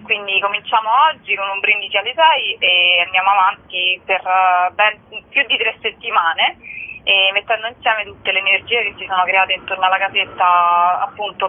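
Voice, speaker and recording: A woman giving an informal interview as a festival organiser, speaking as a guest. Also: mid-range voice. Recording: tinny, narrow phone line.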